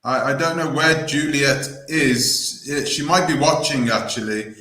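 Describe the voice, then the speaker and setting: A man hosting a live call-in stream, speaking hesitantly with many pauses and "um"s. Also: mid-range voice, pushed and bright, reverberant. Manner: hesitant, lots of "um" and restarts.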